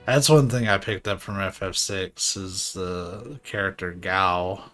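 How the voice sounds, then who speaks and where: A man's voice: low voice, measured pace, a bit bright. Casual, rambling male game streamer. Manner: informal, rambling, joking. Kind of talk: casual live game commentary. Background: faint game music.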